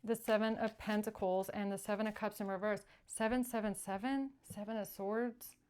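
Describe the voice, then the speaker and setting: A woman with a medium-pitched voice gives a tarot reading as the host. She speaks spontaneously and directly to her viewers, leaving pauses and repeating short phrases to stress them. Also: fairly even intonation, a little mellow, a little hiss or hum. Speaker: tarot reader and channeller, show host.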